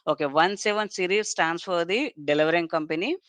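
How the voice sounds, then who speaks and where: A software trainer walks through technical material step by step. The voice is clean and smooth, with a dry sound free of room echo. The delivery is explanatory, with points often closed by brief checking tags. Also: mid-range voice, brisk.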